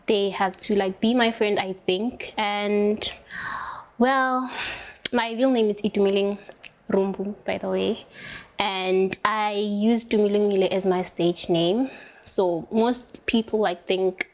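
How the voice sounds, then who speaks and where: A woman's voice: mid-range voice, fairly even intonation. Young South African woman, chatty and rambling. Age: young adult. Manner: rambling, many "like" fillers, drawn-out words. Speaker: interviewee doing makeup. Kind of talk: casual chat while applying makeup.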